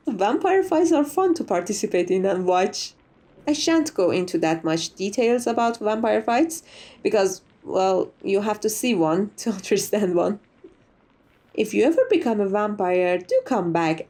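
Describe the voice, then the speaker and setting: A woman's voice: mid-range voice, dark tone, natural voice. A woman playing a sociable, gracious vampire who chats in a genteel, slightly formal way, as an ASMR roleplay. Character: sociable, chatty, gracious old female vampire being interviewed.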